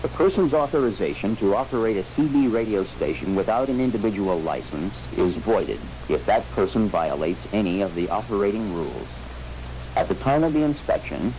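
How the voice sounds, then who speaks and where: A man delivers a scripted alert announcement, reading formally in a solemn, measured bulletin manner. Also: sits low, a little mellow, low-quality recording. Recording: voice recording with clear humming noise.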